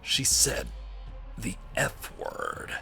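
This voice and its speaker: A man voicing a gruff, foul-mouthed old soldier hero with a raspy, deadpan delivery in a parody game dub. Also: drawn-out words, soft background music, full character voice. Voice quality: gravelly, raspy. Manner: deadpan, swearing.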